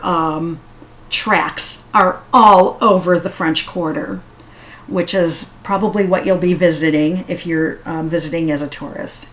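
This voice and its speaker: A woman giving a casual spoken history talk, unhurried and halting with frequent fillers. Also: on the low side, rough recording. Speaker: history storyteller.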